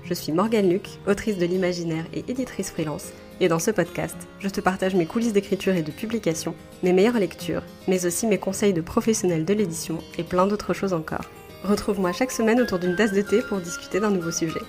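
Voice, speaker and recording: A woman's voice: fairly low pitch, a little fast, a little mellow, faint music behind. A woman hosting a casual writing podcast, chatty and friendly, with many fillers. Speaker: podcast host.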